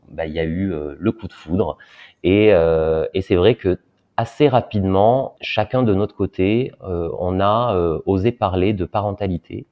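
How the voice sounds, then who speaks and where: Very deep-voiced hesitant man. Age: 30s. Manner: hesitant, many filler pauses. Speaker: guest. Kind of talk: personal interview talk. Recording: dull lo-fi sound.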